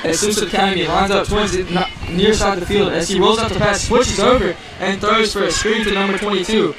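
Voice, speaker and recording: A man with a raspy voice calling play-by-play for a live game, often stumbling and restarting. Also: sits high, steady tone, a loud crowd. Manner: halting, stumbles and restarts on names. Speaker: play-by-play announcer. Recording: echoey, distant mic, loud music.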